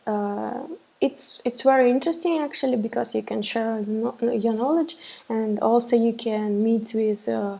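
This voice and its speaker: Woman in her thirties, webinar instructor on a webcam, slow and gravelly, halting delivery full of fillers. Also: mid-range voice, dark tone. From Russia. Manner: hesitant, many fillers and restarts. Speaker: web development instructor. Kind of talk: live webinar presentation. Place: small room indoors. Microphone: webcam on a laptop.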